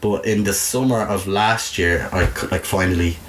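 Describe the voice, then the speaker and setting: A man's voice: low-pitched, normal speed, a bit bright. Dublin man chatting candidly over background noise. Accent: Dublin Irish. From Ireland. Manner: candid, informal, sweary, frequent "you know". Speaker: interview guest, video maker. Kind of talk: casual personal storytelling.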